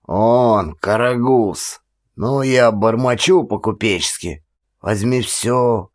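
A man acting a slow-talking, boastful old ex-policeman. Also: on the low side, voice pushed up. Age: older. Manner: slow, theatrical, folksy storytelling. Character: aggrieved old former policeman boastfully recalling past exploits. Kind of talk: dramatic audiobook acting.

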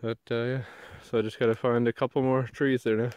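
A man's voice: medium pitch, a little fast, smooth, dry recording, dull audio. Easygoing man in the woods. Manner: relaxed, chatty, explains as he works. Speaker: bushcraft vlogger. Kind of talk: casual narration while working. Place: outdoors in a spruce forest. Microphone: handheld or mounted action camera.

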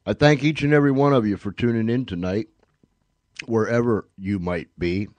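A man with a fairly low voice hosts a radio show in a folksy, plain-spoken style. He talks to listeners directly and slips in joking asides, recorded close and dry. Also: moderate pace, a little mellow, no echo. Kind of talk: folksy show announcing and sign-off.

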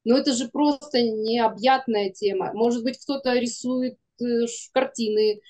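A woman's voice: on the high side, steady tone, a little slow, mellow. A middle-aged woman talking casually as a guest on a video call. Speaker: guest, animation studio producer. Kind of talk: casual conversation. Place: indoor room, video call.

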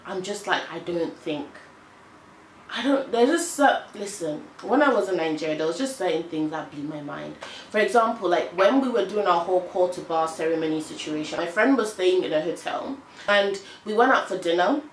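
A young woman with an English accent talks frankly to camera in an animated, exasperated way. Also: lowish voice, roomy sound, a little hiss or hum, poor audio. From United Kingdom. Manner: animated, frank, exasperated storytelling with hand gestures. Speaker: vlogger. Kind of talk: casual chat to camera. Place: small indoor room, on a sofa.

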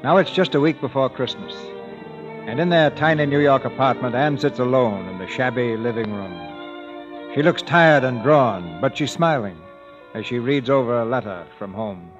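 A man narrating a 1930s radio drama in a calm, measured way. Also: mid-range voice. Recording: dull old radio broadcast with music.

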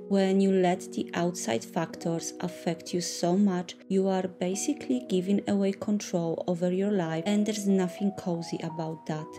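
A woman whose first language is Polish, calmly narrating a scripted vlog voice-over. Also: fairly low pitch. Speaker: vlogger. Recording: voice-over with loud music bed.

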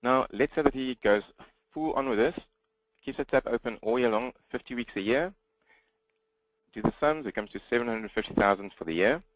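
Fast-talking South African man presenting. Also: mid-range voice, no echo, poor audio. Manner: fast, slightly mumbled delivery with frequent restarts. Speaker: guest presenter, business coach. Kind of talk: webinar presentation.

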